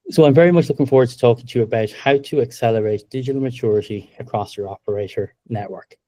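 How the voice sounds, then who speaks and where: A middle-aged Irish man giving a business webinar presentation over a video call, upbeat and steady, with frequent ums. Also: on the low side, no echo. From Ireland. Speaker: webinar presenter, company co-founder.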